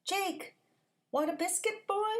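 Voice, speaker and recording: A woman's voice: very high-pitched, slow, voice pushed up. A woman voicing a friendly man who talks fondly to his pet dog in a picture book, coaxing and reassuring. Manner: coaxing, reassuring, gently explaining.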